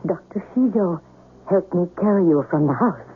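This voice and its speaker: An actress playing a cold, calculating conspirator in a 1970s radio ghost tale, speaking deliberately and matter-of-factly. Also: female voice, fairly low pitch, lively intonation, breathy edge. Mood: cool, calculating. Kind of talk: 1970s radio drama acting. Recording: tinny 1970s radio broadcast with music.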